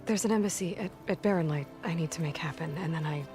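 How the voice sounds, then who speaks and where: Woman playing a low-voiced, determined young huntress. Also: fast, music in the background, lightly acted. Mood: guarded. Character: determined, guarded young woman hunter and adventurer. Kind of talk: video game voice acting.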